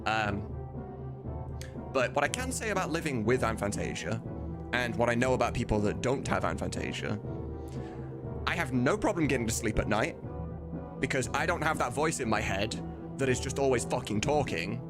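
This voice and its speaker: A man's voice: medium pitch, lively intonation, normal speed, pauses often. Candid rambling man over background music. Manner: candid, rambling, swears casually. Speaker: streamer. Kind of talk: casual livestream chat answering viewers.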